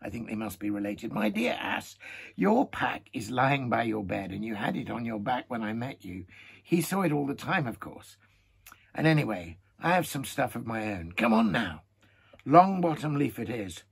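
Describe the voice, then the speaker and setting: A man reading aloud and voicing a playful young hobbit who teases his friend, in a gravelly, raspy voice. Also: mid-range voice, heavily acted. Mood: teasing. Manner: teasing, lively, quick asides.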